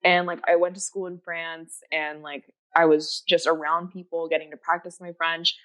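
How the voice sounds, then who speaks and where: Low-voiced chatty woman, Japanese native. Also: little pitch movement, normal speed, a bit bright, muffled recording. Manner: rambling, enthusiastic, many fillers and restarts. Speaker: podcast guest. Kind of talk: casual chat.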